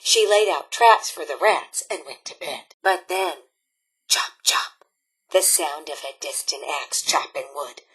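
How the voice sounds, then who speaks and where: Perky, bright-voiced robot scout leader. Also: female voice, low-pitched, expressive, a little slow, breathy edge, heavily acted. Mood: spooky, dramatic. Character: cheery robot scout leader telling spooky campfire tales. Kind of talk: theatrical campfire storytelling.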